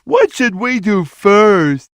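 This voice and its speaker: A man's voice: high voice, sing-song, a bit bright, no echo, studio quality, heavily acted. A man voicing a big, easygoing, simple-minded cat in a video game, with a childlike drawl. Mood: amazed. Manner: slow-witted, childlike, drawling. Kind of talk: video game character voice lines.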